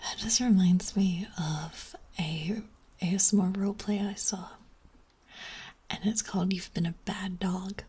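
Woman ASMR streamer, unhurried close-mic whisper, teasing and giggly. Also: mid-range voice, fairly even intonation, slightly rough. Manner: soft whisper, playful and giggly.

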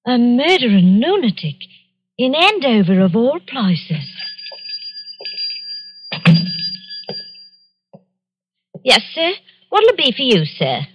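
Casting: chatty working-class Englishwoman, excitable, high and very animated, radio mystery style. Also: no room sound. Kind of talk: old-time radio mystery acting.

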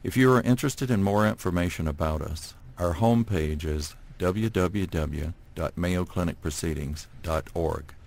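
A man reading a formal scripted closing announcement for a medical journal in an even manner. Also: sits low, normal speed, gravelly, hardly any pauses.

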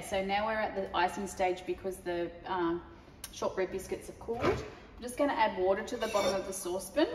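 A relaxed woman in her thirties chats her way through a recipe, working at the counter as she talks. Her voice sounds a little distant from the camera mic. The large home kitchen adds a long, roomy echo, with a faint hum behind. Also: mid-range voice. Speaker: cooking show host. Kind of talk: casual cooking demonstration. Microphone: camera mic from a few metres.

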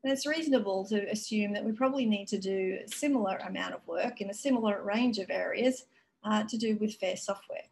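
A woman giving a conference keynote over a video call, explaining in a measured way with frequent fillers. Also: mid-range voice, creaky, darkish tone. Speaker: keynote speaker. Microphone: video call webcam.

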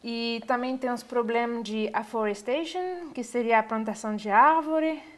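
A woman, giving an online university lecture over slides, pausing often with fillers as she searches for words. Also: fairly high pitch. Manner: hesitant, many filler pauses. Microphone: webcam on a video call.

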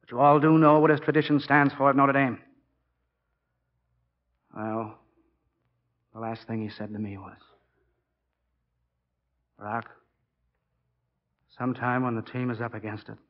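A stubborn, fatherly football coach of about forty, played by a man in 1940s radio-drama style. His delivery is plain and measured, at a medium pitch. The sound is dull and band-limited, like an old broadcast. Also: natural voice. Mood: grave, subdued. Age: 40s.

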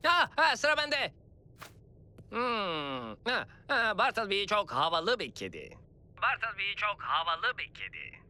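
An actor voicing an excitable, boastful pet cat sidekick in a dubbed children's cartoon, with comic stammers. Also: medium pitch, takes it slowly, exaggerated voice. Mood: boastful, playful. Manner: hesitant stammering, comic exclamations. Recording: music behind the voice.

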